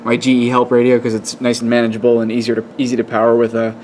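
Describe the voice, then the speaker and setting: A man giving a casual hands-on demonstration, explaining things in a hesitant, halting way. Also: mid-range voice, monotone, darkish tone. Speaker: presenter of a radio equipment test. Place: indoors at a wooden workbench. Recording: faint room noise. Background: faint background noise.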